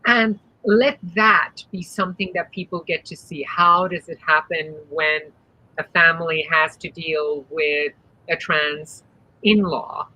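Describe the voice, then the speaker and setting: A woman's voice: sits low, measured pace, dull audio. A woman from Iran, whose first language is Persian, speaking expressively and with some sass as a guest on a video-call panel conversation. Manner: expressive, sassy, earnest. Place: home room.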